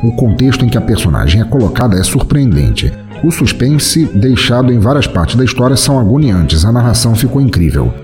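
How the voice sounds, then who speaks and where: A man's voice: mid-range voice, very animated, brisk, hardly any pauses, a little mellow, soft background music. A man with a Brazilian accent hosting a podcast, reading out listener comments and replying in an informal, friendly way. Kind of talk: conversational reading of listener feedback.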